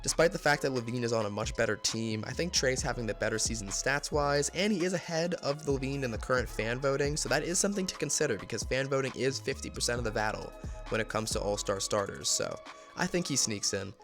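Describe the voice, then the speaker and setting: A man's voice: mid-range voice, a bit bright. Congested young guy talking hoops picks, bit nasally, rattling off numbers, faint music under it. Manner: stat-heavy, rapid listing of numbers. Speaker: basketball video creator. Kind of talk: casual sports commentary monologue.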